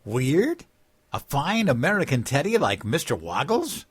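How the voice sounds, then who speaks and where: An actor playing a dim-witted, childlike, cheerful President-elect in a comedic audio drama, naive and earnest. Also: male voice, a little high, very animated, unhurried, breathy edge, no echo, studio quality, exaggerated voice. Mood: earnest, defensive.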